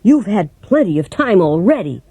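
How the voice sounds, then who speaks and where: A woman's voice: medium pitch, very animated, normal speed, warm tone, breathy edge, no room sound. A woman voicing an earnest, plaintive tin man who speaks firmly.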